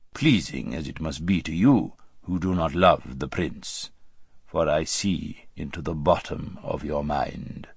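A man's voice: rumbling low, slightly rough, no echo, studio quality, voice pushed down, exaggerated voice. A man voicing an enormous, pompous tyrant king in an audiobook, cold and cunning, speaking in ornate, formal phrases. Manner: ornate, formal, unhurried speech. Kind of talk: audiobook character acting.

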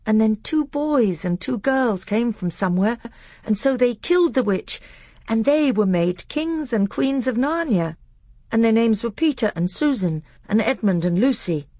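A woman's voice: on the high side, animated, unhurried, warm tone, no echo, studio quality, pitched above the performer's own voice. A woman voicing a very young, innocent boy prince in a storybook, with an artless, childlike way of talking. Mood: eager, excited. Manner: artless, breathless childlike babbling. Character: very little boy prince, innocent, eager, dreamy. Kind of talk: acted storybook dialogue.

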